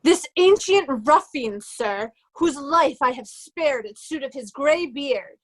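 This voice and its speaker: Woman acting haughty craven steward, Shakespearean stage delivery, very high voice, slow drawn-out pace. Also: lively intonation, rough recording. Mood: breathless, haughty. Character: Oswald, haughty cowardly male steward, easily panicked. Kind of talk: reader's theatre Shakespeare acting.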